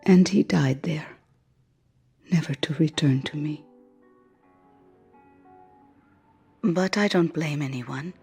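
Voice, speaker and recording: An actress playing a bereaved former fighter, sorrowful yet accepting, speaking with soft restraint in a game interview. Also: female voice, low voice, a little slow, vocal fry, breathy edge, faint music behind, full character voice. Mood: grieving, accepting. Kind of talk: documentary-style interview acting.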